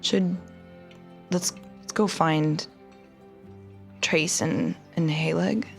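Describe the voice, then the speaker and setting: A woman's voice: sits low, fairly even intonation, drawn-out words, gravelly, faint music behind. A woman player in a tabletop game, talking casually and thinking aloud as she plays her character.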